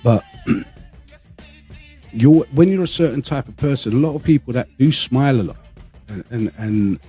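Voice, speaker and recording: A male podcast host who grew up speaking Greek first. His voice is dark and rough-edged at a middle pitch. He talks casually and openly, pausing to find his words, with faint music behind him. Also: animated. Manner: halting, candid, searching for words. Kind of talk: casual panel chat.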